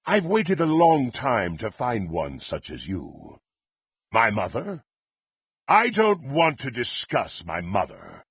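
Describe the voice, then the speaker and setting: An actor playing a power-hungry, contemptuous dark warrior in a fantasy video game, with a gravelly, husky voice and haughty, theatrical, archaic phrasing. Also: male voice, medium pitch, huge pitch swings, takes it slowly, no room sound, exaggerated voice. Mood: intense, imperious.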